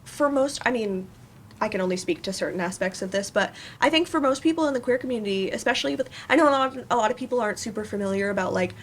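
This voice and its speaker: A woman's voice: fairly high pitch, lively intonation, fast talker. Hesitant, informal woman on a panel. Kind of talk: casual panel discussion. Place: live panel event in a room. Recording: faint room noise.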